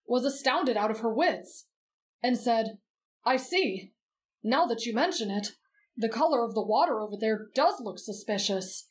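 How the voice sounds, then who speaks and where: A woman voicing a gentle, earnest, patient teenage boy in an anime bedtime-story roleplay. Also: fairly high pitch, close to an everyday voice.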